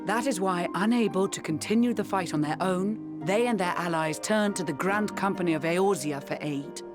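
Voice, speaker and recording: An actor voicing a composed, earnest young envoy with measured, articulate, formal speech in a video game. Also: medium pitch, music in the background, close to an everyday voice. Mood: grave, explaining. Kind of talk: dramatic video game voice acting.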